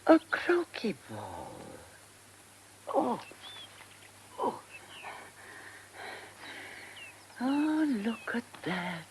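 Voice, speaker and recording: Casting: eccentric faded older diva, radio drama monologue, theatrical and halting, very unhurried, faint noise on the recording. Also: female voice, fairly low pitch. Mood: nostalgic. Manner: theatrical, halting, breathy muttering to herself. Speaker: actress.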